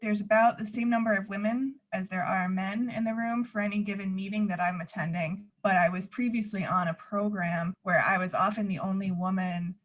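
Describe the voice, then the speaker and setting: A woman on a panel who works in engineering at a defense contractor, speaking clearly and steadily. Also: mid-range voice, steady tone, a little fast, few pauses, low-quality recording. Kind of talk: panel discussion.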